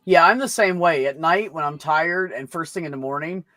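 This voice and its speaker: A man's voice: a little high, normal speed, bright, forceful tone, dry recording. A man in a casual group chat, talking bluntly and informally with plenty of swearing.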